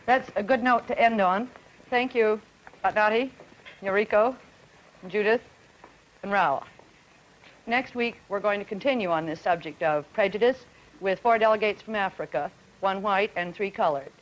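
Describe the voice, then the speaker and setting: A woman moderates a panel discussion, asking brisk, probing questions in a mid-range voice. The audio is dull and lo-fi, like an old archival broadcast. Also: mid-range voice. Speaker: discussion host.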